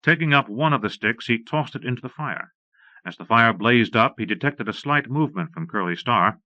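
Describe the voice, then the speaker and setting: A man's voice: medium pitch, expressive, normal speed, sharp tone, dry recording. A man reading scripted western narration for an audiobook, recorded close and dry on a slightly dull cassette.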